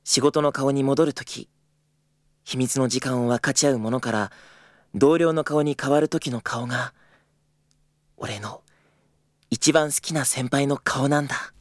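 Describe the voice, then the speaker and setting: An actor playing an earnest, easily flustered young junior travel agent in a Japanese audio drama, polite and prone to stammering. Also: male voice, medium pitch, a little slow, pauses often, no room sound, natural voice. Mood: tender, reflective. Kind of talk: Japanese audio drama acting with intimate narration.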